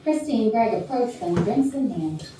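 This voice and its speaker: A woman's voice: a little high, animated, normal speed. Very echoey woman reading stage directions. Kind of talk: scripted narration at staged reading. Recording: very echoey, noisy distant rehearsal.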